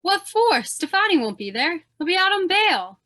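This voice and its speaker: An actress playing a snappy, sardonic newspaper photographer in an old-time radio drama, with a sharp, wry delivery. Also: female voice, extremely high pitch, big pitch swings, normal speed, exaggerated voice. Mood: skeptical.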